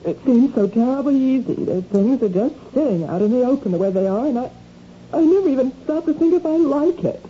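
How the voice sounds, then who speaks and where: A woman's voice: sits high, expressive, fairly quick. An actress playing an anxious, defensive married woman who shoplifts, pleading and evasive in a radio drama. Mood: agitated, defensive. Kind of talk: 1970s radio drama acting. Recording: muffled, noisy old radio recording.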